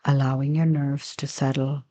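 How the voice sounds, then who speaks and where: A woman leading a guided meditation as a teacher, giving gentle, unhurried instructions with long pauses. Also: extremely low pitch, little pitch movement.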